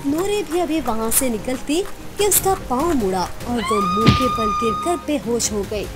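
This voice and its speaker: A woman narrates a cartoon moral tale from a script. Her voice is high, and music plays clearly behind it.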